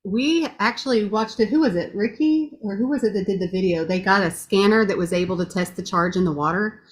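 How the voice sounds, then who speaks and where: A woman's voice: medium pitch, brisk. A woman with a strong voice giving a chatty, gushing testimonial, laughing often as she talks. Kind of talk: casual testimonial chat.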